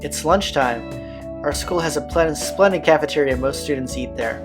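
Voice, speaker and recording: A man's voice: on the high side, moderate pace, music in the background. A man reading a visual novel's narration aloud as a let's player, with occasional casual asides. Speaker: video game let's player.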